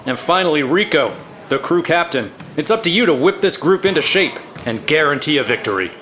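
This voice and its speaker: An actor playing a stern, businesslike corporate handler in a cartoon, giving a curt, commanding briefing. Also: male voice, on the high side, expressive, brisk, fairly bright tone, slight background noise. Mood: brisk, commanding. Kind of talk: mission briefing.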